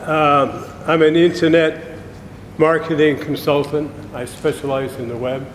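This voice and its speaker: A man in his seventies introducing himself at a meeting in a relaxed, plain-spoken way. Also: fairly high pitch, measured pace, a little hiss or hum. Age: 70s. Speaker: marketing consultant and photographer. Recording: echoey room with long reverb.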